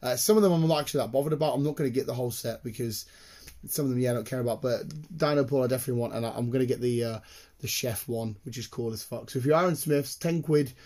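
A British man chatting casually in a rambling, excitable, sweary way, with a slightly croaky voice. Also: medium pitch, racing. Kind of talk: casual chat, rambling anecdotes.

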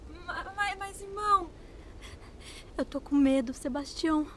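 A woman's voice: extremely high pitch, unhurried, fairly bright tone. A woman voicing a frightened, hesitant young enslaved boy in a radio drama, with a Brazilian accent. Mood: afraid. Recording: noisy ambient background.

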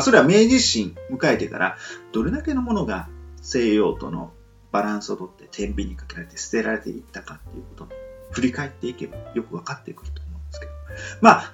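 A man hosting a solo podcast, talking to listeners in a reflective, polite and rambling casual monologue. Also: mid-range voice. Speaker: solo podcast and radio show host. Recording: muffled lo-fi, soft guitar music behind. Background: plucked guitar music.

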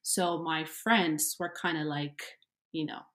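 Lively woman from Ethiopia, lower voice. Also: slow. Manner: animated, laughs while telling stories, many fillers. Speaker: guest, entrepreneur. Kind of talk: conversational interview storytelling.

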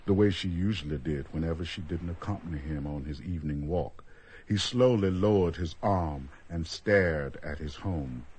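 A man with a husky voice narrating a scripted radio drama in a measured, dramatic storytelling manner. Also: deep voice, darkish tone.

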